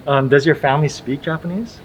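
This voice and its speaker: A man's voice: a little high, brisk. A young man from Hawaii hosting a casual conversation in an easygoing manner, laughing often. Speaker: host. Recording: noisy background.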